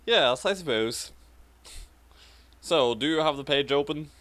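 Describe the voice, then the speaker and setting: A woman's voice: sits low, animated, measured pace, fairly bright tone. A woman co-hosting a comic fan fiction reading, switching between casual chat and theatrical, exaggerated delivery.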